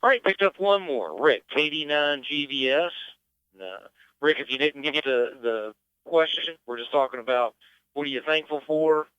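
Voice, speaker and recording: A man's voice: fairly high pitch, expressive, normal speed, smooth, no room sound. A man acting as net control, casually moderating check-ins over amateur radio. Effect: amateur radio transmission. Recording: dull band-limited radio audio.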